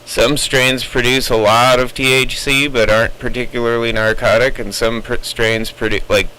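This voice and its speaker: A man's voice: medium pitch, little pitch movement, moderate pace, pushed and bright, low-quality recording. A man chatting casually over CB radio, explaining his opinions at length in a rambling way. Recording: CB radio chatter with faint noise.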